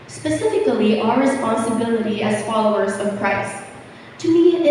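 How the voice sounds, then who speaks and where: A young woman, a little hoarse and raspy from being unwell, candidly sharing a camp testimony. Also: a little high, a little mellow, a little hiss or hum. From Philippines. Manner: earnest, candid personal sharing. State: under the weather, slightly hoarse. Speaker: youth camper giving a testimony. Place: large church hall. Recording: echoey hall, mic from far off.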